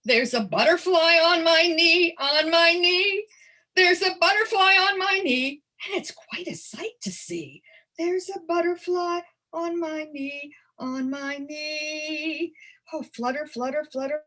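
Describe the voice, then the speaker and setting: A woman presenting a storytelling workshop in an animated, theatrical and encouraging conversational manner. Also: extremely high pitch, slow pace. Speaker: storytelling presenter and early literacy educator. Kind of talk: workshop demonstration with storytime performance.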